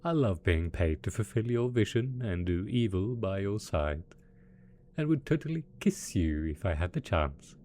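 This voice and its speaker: A man's voice: on the low side, expressive, normal speed, dark tone, slight background noise, lightly acted. A man playing a gleeful, smug and possessively doting mad scientist supervillain boss in a theatrical, playful roleplay audio drama. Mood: teasing, mocking. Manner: theatrical, playful, mock-innocent, chuckling.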